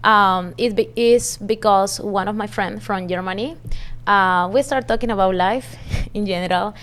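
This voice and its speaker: Brief: woman, clear resonant voice, mid pitch, hesitant "um"s. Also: moderate pace, fairly bright tone. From Peru. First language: Spanish. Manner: hesitant with "um" fillers, non-native grammar. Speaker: entrepreneur guest. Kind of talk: casual interview answers.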